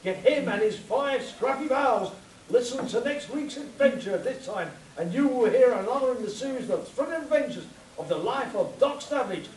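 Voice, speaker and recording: A man's voice: extremely high pitch, expressive, moderate pace, darkish tone. A man playing the melodramatic announcer of a spoof old-time radio adventure serial. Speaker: narrator and announcer. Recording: echoey noisy hall, distant mic.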